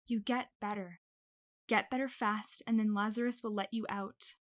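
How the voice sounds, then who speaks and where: A woman playing a protective, secretive friend of a captive in an audio drama, speaking gently and reassuringly. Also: mid-range voice, fairly even intonation, normal speed, no echo, studio quality, lightly acted.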